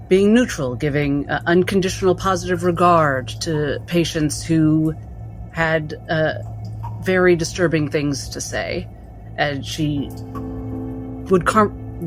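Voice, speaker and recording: A woman playing a tabletop roleplaying game, narrating her character in deliberate, measured phrases. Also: deep voice, low-quality recording. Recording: music behind the voice.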